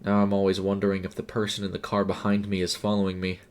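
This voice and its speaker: A man voicing a blunt young female fast-food worker with an informal, sardonic manner in an audio story. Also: low voice, monotone, natural voice. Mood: uneasy.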